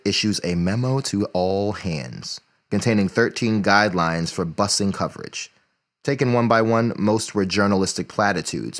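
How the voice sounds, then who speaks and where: A man acting as a measured, deliberate chronicler of newspaper history in an audio play. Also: low voice.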